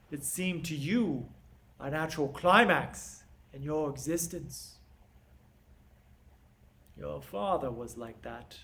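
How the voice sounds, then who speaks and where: Man acting a proud, slow-spoken, scornful king. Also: on the high side. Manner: theatrical, deliberate, emphatic delivery with dramatic pauses. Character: proud, scornful, stern king lecturing his niece. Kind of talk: classical stage monologue.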